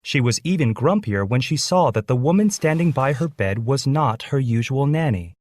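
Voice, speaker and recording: A man's voice: medium pitch, expressive, moderate pace, darkish tone. A man reading a scripted children's story as its narrator.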